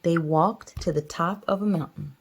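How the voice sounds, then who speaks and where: A woman's voice: lowish voice, normal speed, slightly rough. A woman reading a picture book aloud as a mother to her young child, chatting casually and encouragingly. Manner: encouraging, explains things to child.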